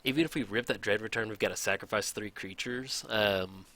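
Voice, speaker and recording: A man casually commenting on a card game match, thinking aloud, his voice a little raspy from hours of recording. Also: on the low side, a little fast. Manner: chatty, thinks aloud, counts out loud. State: slightly raspy from long recording. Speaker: gameplay commentator.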